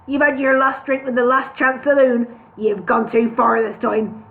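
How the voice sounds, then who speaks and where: A woman voicing a loud, angry, fat male innkeeper who bellows and snarls at everyone. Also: fairly high pitch, fairly even intonation, normal speed, creaky. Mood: furious. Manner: shouting, snarling, vehement. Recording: tinny phone-like sound.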